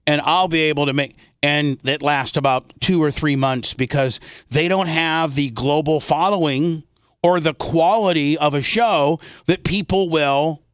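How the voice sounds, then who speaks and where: A man's voice: fairly high pitch, a little slow, dry recording, clean studio sound. A man hosting a radio show with unscripted talk, blunt and salty in the way he speaks.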